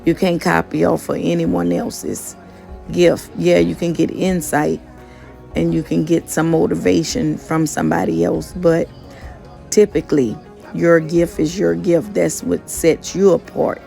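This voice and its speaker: A woman in a casual vlog, talking about motivation in an unhurried monotone. Her voice is deeply gravelly and mellow. Soft music plays faintly behind her. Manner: monotone, self-described; conversational, many fillers and restarts. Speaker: motivational vlogger and gig worker.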